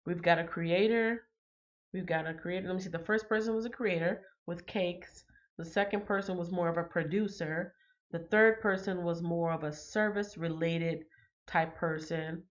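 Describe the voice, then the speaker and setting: Woman, livestream host, low voice, slightly stuffy, chatty with ums, dull lo-fi sound. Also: steady tone, normal speed. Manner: chatty, reads out viewer comments, frequent ums. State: slightly congested. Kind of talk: casual livestream chat.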